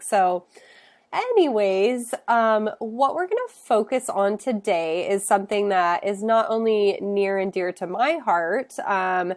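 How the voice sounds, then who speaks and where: A woman's voice: mid-range voice, a little mellow. Congested woman nutritionist explaining casually. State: congested from a cold. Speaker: functional nutritionist. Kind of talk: educational talk to camera.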